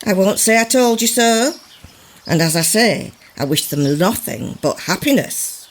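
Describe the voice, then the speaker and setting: A woman's voice: mid-range voice, lively intonation, a little slow. A woman playing a sanctimonious village gossip of olden times, confiding and insinuating in an archaic radio drama monologue. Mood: sly, insinuating. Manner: confiding, mock-pious, insinuating.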